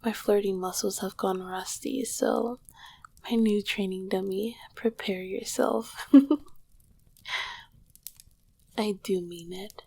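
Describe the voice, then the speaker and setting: Woman acting as ancient demon queen, female, whispered ASMR roleplay, husky breath, creaky edge, slow regal delivery, tender, flirtatious. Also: medium pitch, fairly even intonation, darkish tone. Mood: playfully flirtatious. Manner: regal, old-fashioned, affectionate phrasing.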